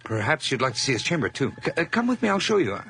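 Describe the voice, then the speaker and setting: A man's voice: on the high side, very animated, very fast, creaky, a bit bright, slight background noise, full character voice. An actor playing a nervous, overly eager hired man who stammers through forced cheer in a radio drama. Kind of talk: 1980s radio drama acting.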